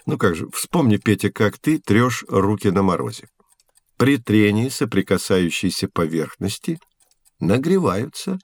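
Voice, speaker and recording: A man playing a patient, learned science mentor who explains things in a measured, didactic way in an educational audio drama. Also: lowish voice, sing-song, dry recording. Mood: encouraging. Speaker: teacher figure.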